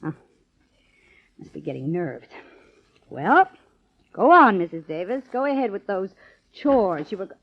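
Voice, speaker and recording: Sneering young tough gal, slangy, big pitch swings, dull old radio sound. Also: female voice, sing-song, unhurried, long pauses. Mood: suspicious. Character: sassy, hard-boiled young gangster's moll. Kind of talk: 1940s radio crime drama acting. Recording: muffled old radio recording.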